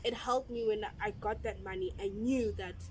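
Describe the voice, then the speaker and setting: A woman, chatting casually with friends, animated and laughing, with many fillers. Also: mid-range voice, moderate pace, rough recording. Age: 20s. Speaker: guest. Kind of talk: casual chat among friends. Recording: background noise.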